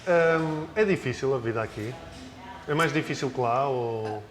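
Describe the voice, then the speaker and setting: A man's voice: medium pitch, measured pace. A man with a European Portuguese accent hosting, chatting and teasing in interviews and narrating voice-overs. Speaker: host. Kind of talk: casual interview and voice-over narration.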